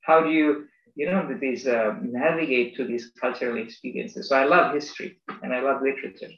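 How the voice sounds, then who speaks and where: A man from Bangladesh, an academic, answering interview questions in a thoughtful, halting way with many restarts. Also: medium pitch, fairly even intonation, creaky, fairly bright tone, roomy sound, lo-fi sound. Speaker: business school academic. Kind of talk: conversational interview.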